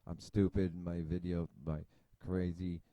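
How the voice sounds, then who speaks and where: Low, mellow-voiced man rambling over chess. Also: level tone, unhurried, no echo, highs cut off. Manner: rambling, thinking aloud with many fillers and restarts. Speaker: chess video host. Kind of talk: casual chess analysis commentary. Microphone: desk mic at a computer.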